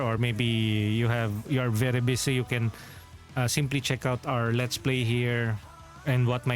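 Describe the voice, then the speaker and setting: A Filipino man with a Filipino accent, a game streamer chatting casually and rambling as he corrects himself. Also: medium pitch. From Philippines. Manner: rambling, self-correcting, chuckles often. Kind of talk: casual livestream chat.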